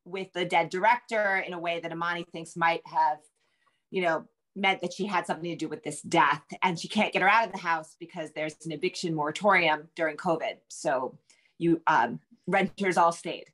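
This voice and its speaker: A middle-aged woman answering as a guest author, conversational and explanatory, often halting to rephrase herself. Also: on the low side, a bit bright. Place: home room with bookshelves, indoors. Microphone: video call.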